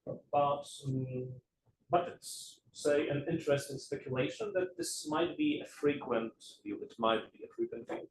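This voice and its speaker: A man in his thirties from Poland, a native Polish speaker, giving an academic talk in a measured, hesitant way with many fillers. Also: medium pitch, wildly swooping pitch, a little hiss or hum. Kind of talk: academic lecture. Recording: echoey room, mic at a distance.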